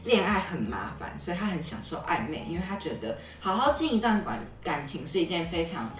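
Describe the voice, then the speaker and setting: Low-voiced young Taiwanese woman, roomy and distant. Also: big pitch swings, brisk, a little hiss or hum. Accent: Taiwanese Mandarin. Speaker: podcast host. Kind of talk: casual conversational storytelling. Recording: roomy echo, off-mic, music underneath.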